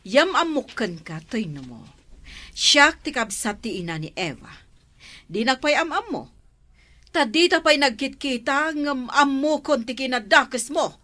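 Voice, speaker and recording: An actress playing a stern, protective middle-aged aunt in a radio drama, speaking with authority and an Ilocano accent. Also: female voice, sits high, big pitch swings, unhurried, sharp tone, no room sound, full character voice. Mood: scornful, stern. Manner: firm, authoritative.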